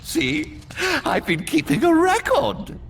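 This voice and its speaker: A man's voice: very high voice, sing-song, slow, creaky, a little hiss or hum, exaggerated voice. An actor playing a mad old male prisoner who keeps a record, whispering and giggling in a sing-song way over orchestral music. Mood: unhinged. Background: orchestral game music.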